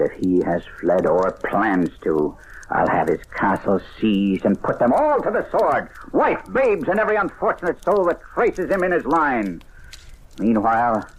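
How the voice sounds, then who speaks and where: A man's voice: lowish voice, husky. An actor playing a tormented, ruthless usurper king in a theatrical, declamatory old radio drama style. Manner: theatrical, declamatory, swinging from hushed brooding to cries. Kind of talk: classic radio drama acting. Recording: noisy old radio recording.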